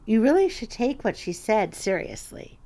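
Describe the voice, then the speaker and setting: A woman's voice: on the high side, big pitch swings, normal speed, warm tone. Woman playing a feeble, ominous old lady. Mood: ominous warning. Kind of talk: radio mystery drama acting.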